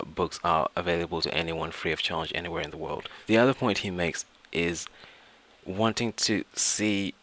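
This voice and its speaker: Male tutorial presenter, low pitch with a crisp bright edge, informal and explanatory. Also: a little hiss or hum. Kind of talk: informal software tutorial narration.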